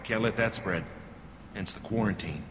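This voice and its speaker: An actor playing a gruff, cynical alien checkpoint guard in a game, talking in a dry, curt and dismissive way. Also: male voice, lowish voice, moderate pace, close to an everyday voice. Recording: reverberant, with loud background noise.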